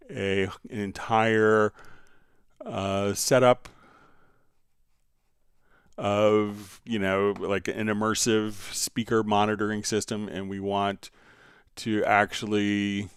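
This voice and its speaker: A man hosting a software tutorial livestream, explaining step by step in an informal, halting way as he thinks aloud. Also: fairly low pitch, smooth, no echo, studio quality. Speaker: host answering viewer questions on a software livestream.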